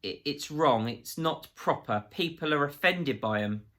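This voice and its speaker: A man's voice: fairly high pitch, lively intonation, unhurried, sharp tone, pitched above the performer's own voice. A man voicing a cowardly, guilty man who stammers, pleads and snivels through a story. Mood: abject snivelling.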